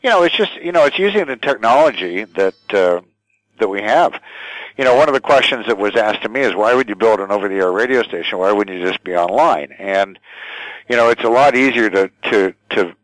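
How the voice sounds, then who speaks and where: Older man, low pitch, dry close sound, halting conversational delivery, guest answering questions. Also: animated, no room sound, poor audio. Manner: halting, thoughtful, repeats words. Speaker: radio station general manager, interview guest.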